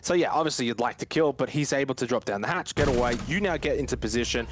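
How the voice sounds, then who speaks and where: A man's voice: mid-range voice, fast talker, noisy background. A man commentating live on a shooter match, conversational and repeating his points for emphasis. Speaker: esports commentator.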